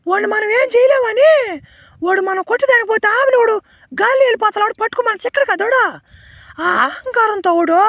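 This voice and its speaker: Woman playing a squeaky, extremely high feisty village woman. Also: fairly bright tone, no echo, clean studio sound. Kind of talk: amateur comedy cartoon voice acting.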